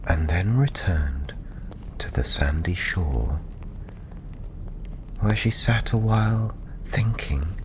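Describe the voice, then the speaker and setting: Deep, slow, whispery male ASMR storyteller. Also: expressive, background noise. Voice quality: soft, breathy, often near a whisper. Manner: gentle, soothing, unhurried with long pauses. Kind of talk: soft-spoken ASMR fantasy storytelling.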